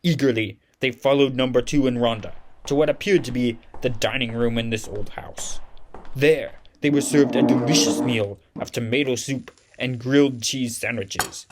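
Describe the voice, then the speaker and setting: A man's voice: mid-range voice, background noise, close to an everyday voice. A man playing the narrator of a children's mystery audio drama, telling it in scripted storybook style.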